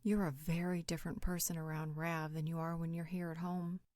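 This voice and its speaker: A woman's voice: low-pitched, flat delivery, brisk, a little mellow, dry recording, studio quality, close to an everyday voice. A woman playing a perceptive, frank confidante in an audio roleplay, reasoning in a direct, insistent way. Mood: persuasive.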